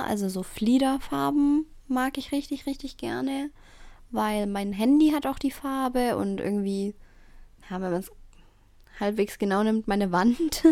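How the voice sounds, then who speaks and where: Slow, hesitant young woman, dry sound. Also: on the high side, mellow, no echo. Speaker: podcast co-host. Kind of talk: casual chat.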